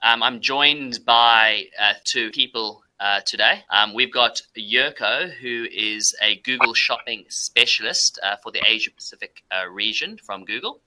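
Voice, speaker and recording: A man's voice: medium pitch, a little slow, hardly any pauses, sharp tone, poor audio. A man hosting a live business webinar, introducing guests with frequent ums and uhs. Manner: hesitant, many ums and uhs. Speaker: webinar host.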